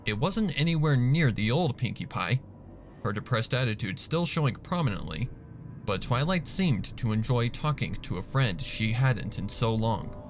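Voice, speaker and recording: Lively male story narrator over music. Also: mid-range voice, moderate pace. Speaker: fan fiction narrator. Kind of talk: dramatic scripted story narration. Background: background music.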